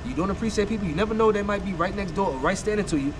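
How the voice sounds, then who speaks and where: Rapid-fire, high-voiced man on a rooftop. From United States. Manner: fast, emphatic, repetitive, animated. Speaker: guest vlogger. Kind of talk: casual chat. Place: sunny rooftop terrace outdoors. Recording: outdoor city noise behind.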